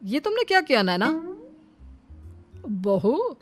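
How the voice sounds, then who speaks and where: A woman's voice: a little high, sing-song, slow, faint music behind, exaggerated voice. A woman voicing a fussy, scolding older father-in-law in a cartoon story. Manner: scolding, bossy. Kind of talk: cartoon voice acting.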